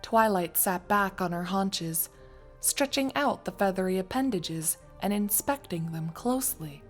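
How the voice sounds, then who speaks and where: A woman reading scripted story narration in an expressive, wry storytelling manner. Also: mid-range voice, a little slow, soft background music. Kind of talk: scripted fan fiction narration.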